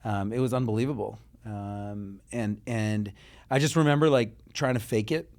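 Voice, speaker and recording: A man from Israel, a guest in a conversational interview, speaking earnestly and haltingly with frequent restarts and fillers. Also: on the low side, a little mellow.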